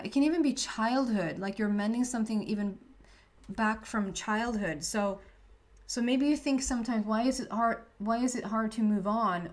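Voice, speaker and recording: A woman with a Swedish accent, a Swedish native speaker giving a casual tarot reading, chatty and often searching for the right words. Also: mid-range voice. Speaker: tarot reader.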